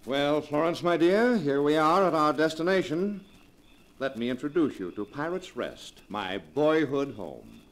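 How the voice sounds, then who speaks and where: A man's voice: fairly high pitch, very animated, measured pace. A man acting a suave, sardonic husband from a sinister family in a 1940s radio drama, theatrical and mockingly casual. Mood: proud, breezy.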